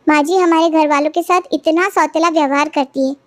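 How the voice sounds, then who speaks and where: A woman acts out an indignant young daughter-in-law in a cartoon moral tale. She sounds high and insistent, forever complaining, in a dry recording. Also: fairly even intonation, brisk, no echo, natural voice. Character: aggrieved, indignant young daughter-in-law, eager to expose wrongs. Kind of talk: cartoon family moral story dialogue.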